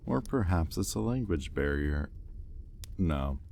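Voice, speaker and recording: Man playing a very deep, slow, imperious demon. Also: animated, creaky, darkish tone, slight background noise, heavily acted. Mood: puzzled, threatening. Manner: slow, deliberate, menacing drawl. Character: imperious male demon buyer, sardonic and exasperated. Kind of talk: intimate audio roleplay acting.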